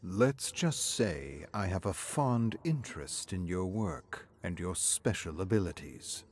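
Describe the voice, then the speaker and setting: A man's voice: deep voice, expressive, takes it slowly, slightly rough, full character voice. A man voicing a grave, guarded middle-aged man in an audiobook story, speaking in a cautioning manner. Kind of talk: audiobook character acting.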